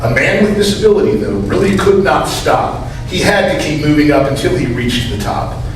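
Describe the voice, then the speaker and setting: A man's voice: a little high, expressive, moderate pace, distant mic. A man reading a rhyming poem aloud in a church readers theater. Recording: echoey church hall from afar, faint noise.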